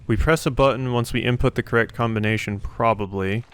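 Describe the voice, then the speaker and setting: A man with a fairly low, very clear voice hosts a let's play. He thinks aloud casually, musing and asking himself questions. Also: brisk, a bit bright.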